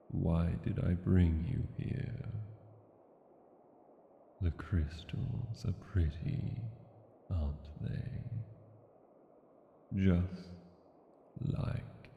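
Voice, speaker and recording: A brooding old-world dragon king acted by a man speaks very low and extremely slowly, weighing each phrase with regret. His gravelly voice rings in a long cavernous reverb. It is a solemn, intimate fantasy audio roleplay monologue. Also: lo-fi sound, slight background noise, heavily acted. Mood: wistful, tender. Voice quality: deep, gravelly. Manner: slow, deliberate, solemn phrasing with long pauses.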